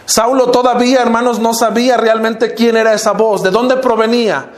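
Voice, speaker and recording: An older man with a Mexican accent preaches a sermon, his voice sitting fairly high. He keeps addressing his listeners as brothers and lets his pitch sink at the close of each phrase. The sound carries the echo of a roomy church hall. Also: fairly even intonation, normal speed. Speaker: minister.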